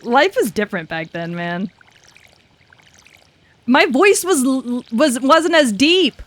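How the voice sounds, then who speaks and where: A woman's voice: sits high, sing-song, takes it slowly, a bit bright, no echo. A woman in her twenties chatting casually on a game stream, laughing easily, swearing, and muttering to herself as she works things out. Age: 20s. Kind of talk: casual gaming stream chat.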